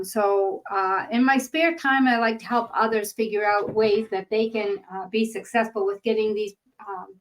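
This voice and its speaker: A woman's voice: mid-range voice, fairly bright tone, low-quality recording. An older woman from northern Maine with a Boston accent, teaching a grant-writing webinar in a chatty way with many ums and uhs. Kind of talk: webinar presentation. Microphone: webinar microphone.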